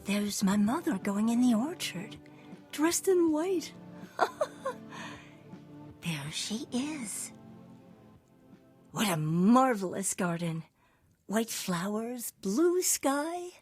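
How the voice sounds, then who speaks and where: High-voiced, theatrical aristocratic lady. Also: big pitch swings, drawn-out words, pauses often, darkish tone, soft background music, heavily acted. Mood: dreamy, wistful. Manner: theatrical, wistful, dreamy. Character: eccentric middle-aged aristocratic woman, sentimental, scatterbrained, grieving mother. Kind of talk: visual novel voice acting.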